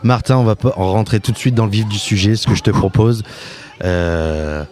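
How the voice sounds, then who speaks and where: Low-voiced man hosting a casual interview. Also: normal speed, a little mellow. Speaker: podcast host, interviewer.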